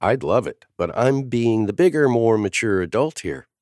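A man voicing a sharp-tongued, competitive grown woman who talks in a snippy, wisecracking way. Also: lowish voice, lively intonation, normal speed, no echo, clean studio sound, voice pushed up.

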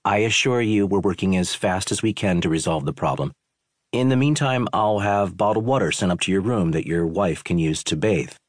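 A man voices a worn-out resort owner answering a complaint with strained politeness. The voice stays low and husky, recorded dry and close to the mic. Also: animated, normal speed, dry recording. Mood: strained polite. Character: weary male resort owner, politely tense.

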